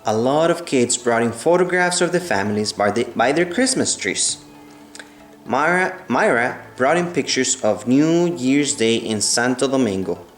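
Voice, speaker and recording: A man reading a children's book aloud in a measured way, stumbling now and then. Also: mid-range voice, animated. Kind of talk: storybook read-aloud. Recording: roomy echo, music behind the voice.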